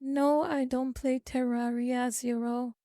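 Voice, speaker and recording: Woman, streamer, chatting to chat, slow deliberate delivery, dry close sound. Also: high-pitched, flat delivery, warm tone, no echo. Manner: chatty, lecturing, reads chat aloud. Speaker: VTuber streamer. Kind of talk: casual live-stream chat with viewers.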